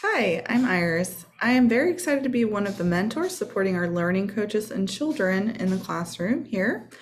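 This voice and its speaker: Woman, teacher, self-introduction, middle pitch. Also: animated, moderate pace. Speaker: teacher and mentor.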